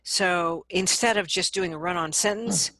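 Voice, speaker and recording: Female vocal coach, interview guest. Slightly low pitch, dry sound, conversational and demonstrative. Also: moderate pace, sharp tone, no echo, low-quality recording. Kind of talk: conversational interview, explaining advice with examples.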